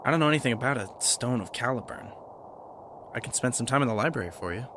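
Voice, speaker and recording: An actor playing an earnest, bookish, kind young man in an audio drama, with a gentle, lightly teasing manner. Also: medium pitch, expressive, quick pace, noisy background, close to an everyday voice. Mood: curious, concerned. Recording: music bed under the voice.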